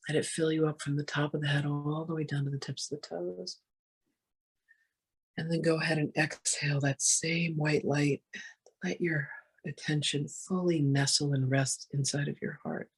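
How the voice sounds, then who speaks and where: A meditation guide with a soft, breathy voice, speaking gently and soothingly with long, unhurried pauses. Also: medium pitch, fairly even intonation, rough recording.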